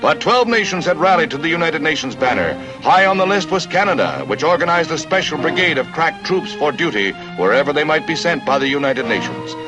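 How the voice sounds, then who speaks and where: Male newsreel narrator, American accent, dramatic and declamatory, lively swooping intonation, music underneath, vintage 1950 soundtrack. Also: on the high side, fairly quick, few pauses, rough recording.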